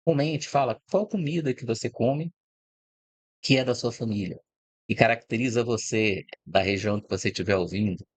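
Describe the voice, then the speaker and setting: A man's voice: mid-range voice, moderate pace, no echo. A middle-aged man from Brazil giving an informal, reflective talk, explaining ideas step by step and often checking in with the listener. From Brazil, born in Rio de Janeiro. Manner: reflective, explanatory, frequent "né?" and "tá?" tags. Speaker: host of a channel about food and agribusiness. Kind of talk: informal spoken talk to camera.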